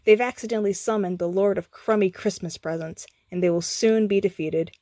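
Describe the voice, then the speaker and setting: A woman reads a bedtime story as a storyteller. Her delivery is theatrical and deliberate, with a medium pitch and a dry, close sound. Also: warm tone, no echo. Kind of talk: scripted bedtime story narration.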